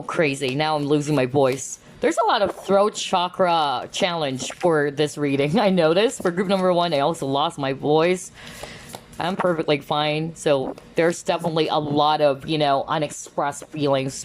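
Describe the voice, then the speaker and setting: A woman giving a casual tarot card reading, chatty and animated, often exclaiming and checking in with tag questions. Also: sits low, normal speed, a little hiss or hum. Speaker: tarot reader.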